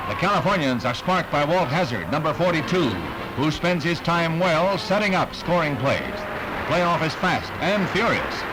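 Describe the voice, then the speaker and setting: A man's voice: on the high side, low-quality recording. An American man reading scripted 1960s newsreel narration in a punchy, wry announcer's delivery. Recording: 1960s newsreel soundtrack, music behind.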